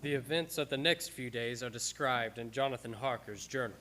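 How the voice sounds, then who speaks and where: A man playing a grave, observant gentleman, narrating a vampire hunt deliberately and precisely in a radio drama. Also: medium pitch, soft background music, lightly acted. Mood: grave suspense.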